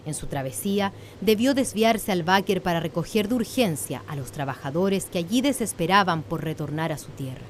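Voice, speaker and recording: A woman's voice: fairly low pitch, lively intonation, normal speed, hardly any pauses, slight background noise. A woman with a Chilean accent reading scripted narration for a radio drama.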